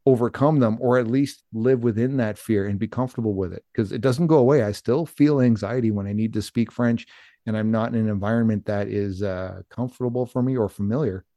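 A man talking earnestly and reflectively in a casual chat, with a few halting restarts. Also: on the low side, dark tone, no room sound, studio quality.

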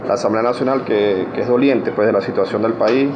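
A man speaking off the cuff in a field interview, halting and repeating words with many fillers. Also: lowish voice, muffled recording, noisy background.

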